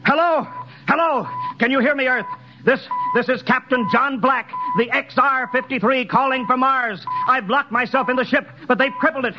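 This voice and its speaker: An actor playing an earnest, rattled rocket captain in a 1950s radio drama, speaking hesitantly and repeating words. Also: male voice, very high-pitched, normal speed. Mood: desperate. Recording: dull 1950s radio recording, loud music.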